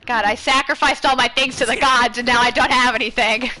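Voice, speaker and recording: Bright, higher-pitched young woman gamer. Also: steady tone, a little fast, a little hiss or hum, low-quality recording. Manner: rambling, thinking aloud. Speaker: gaming content creator. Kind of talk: casual gameplay chat and advice. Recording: game music behind.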